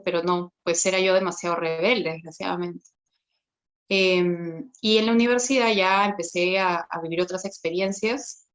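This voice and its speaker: A woman, giving a reflective personal faith testimony with frequent pauses and hesitations. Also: medium pitch. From Peru. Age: 30s. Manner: reflective, unhurried, frequent hesitations and tag questions. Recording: dull lo-fi recording.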